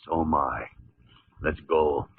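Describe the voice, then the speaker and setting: An actor playing a gruff, fatherly senior doctor in an old-time radio medical drama, with a husky voice. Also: extremely low pitch, takes it slowly, a little hiss or hum, heavily acted. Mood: tense. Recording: tinny old radio recording.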